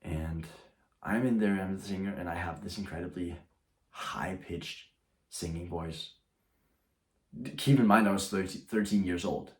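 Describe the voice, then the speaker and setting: Low-voiced young man rambling to camera. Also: unhurried, long pauses. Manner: rambling with frequent restarts. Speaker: YouTuber. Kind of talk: casual talk to camera.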